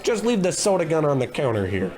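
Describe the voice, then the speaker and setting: Casting: male actor as oblivious gumshoe, higher-pitched voice, grumbling and self-important, old-time radio detective spoof. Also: very animated, fairly quick, a little mellow. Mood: grumbling, hungry. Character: bumbling, blustery, gluttonous male private investigator. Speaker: private detective in a radio play. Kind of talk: scripted comedy in old radio detective style.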